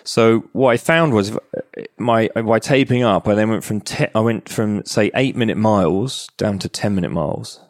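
A man, a guest on a podcast, who talks with enthusiasm and rambles, full of restarts and tag questions. His voice sits a bit low. The sound is close and dry. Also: normal speed, few pauses, no echo. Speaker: guest, movement coach. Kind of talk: conversational podcast interview.

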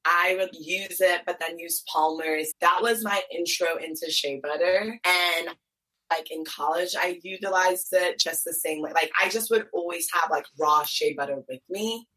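Young woman guest, low-pitched but bright, animated and chatty; first language Twi. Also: normal speed, poor audio. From United States, Columbus, Ohio. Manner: lively, animated storytelling with laughs. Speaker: guest, beauty brand founder. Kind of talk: casual interview chat.

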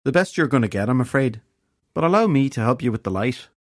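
A man's voice: medium pitch, lively intonation, fast, dry recording, voice pushed up, natural voice. A fairy landlady with a Northern Irish lilt, acted out by a male audiobook narrator. She is wry and matter-of-fact, scolding with little sympathy, in a closely recorded, dry sound. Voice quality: smooth, lilting. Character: wry, unsympathetic female fairy bar owner.

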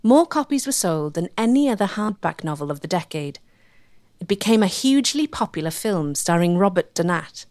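A woman's voice: on the low side, sing-song, moderate pace, a little mellow, no room sound. A woman reading scripted documentary narration as the narrator.

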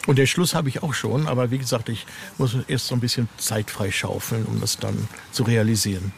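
A man's voice: medium pitch, steady tone, brisk, gravelly, a little hiss or hum. A man, a novelist, talking casually as a guest in a podcast interview.

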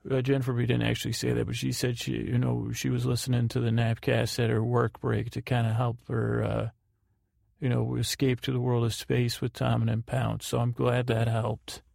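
A man hosting a sleep podcast, rambling through housekeeping in a halting way and often correcting himself. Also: mid-range voice, monotone, creaky, darkish tone, no echo, studio quality. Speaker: podcast host.

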